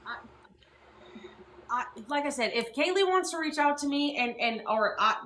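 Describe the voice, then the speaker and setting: A woman's voice: sits high, animated, normal speed, sharp tone, echoey room, slight background noise. A young woman hosting a livestream, ranting and swearing with heavy emphasis. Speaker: livestream host. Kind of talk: heated livestream talk.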